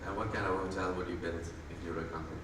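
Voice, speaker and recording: A man's voice: sits low, steady tone, fast talker, noisy background. A man moderating a conference panel, asking questions with a light, teasing manner. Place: large conference hall. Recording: echoey hall, distant mic.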